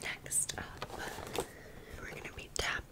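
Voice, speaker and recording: A woman whispers softly and breathily, with almost no voiced sound. She takes her time, speaking very slowly in a casual, chatty ASMR style, like someone sharing a story. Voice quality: soft breathy unvoiced whisper. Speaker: ASMR video creator.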